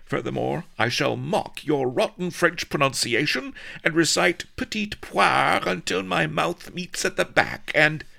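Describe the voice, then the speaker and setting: A man's voice: fairly high pitch, expressive, measured pace, vocal fry, few pauses, sharp tone, pitched above the performer's own voice, exaggerated voice. A man voicing a proud, vain young society woman in an audiobook, indignant and dramatic. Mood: haughty, indignant.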